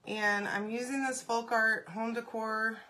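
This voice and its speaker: A woman hosting a casual craft live stream, congested and often clearing her throat, chatting and greeting viewers by name. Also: on the high side, steady tone, unhurried, bright, forceful tone, a little hiss or hum.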